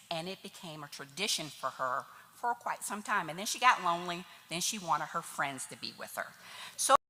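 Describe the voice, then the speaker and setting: A woman's voice: fairly low pitch, bright, forceful tone. A woman giving a chatty, nervous talk to an audience at a church tea event. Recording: hall reverb.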